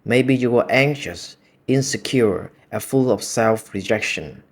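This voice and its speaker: A man with a Vietnamese accent whose native language is Vietnamese, talking in a calm, measured, reflective monologue. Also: mid-range voice. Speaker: video essay host.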